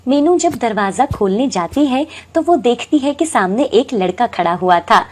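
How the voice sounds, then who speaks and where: A woman's voice: a little high, animated, normal speed, a little hiss or hum. A woman narrating a scripted moral story.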